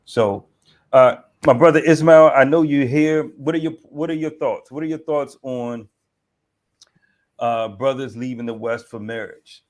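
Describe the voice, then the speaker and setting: A man's voice: mid-range voice, unhurried. A married man hosting a casual discussion, giving earnest advice to other men. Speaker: host, coach.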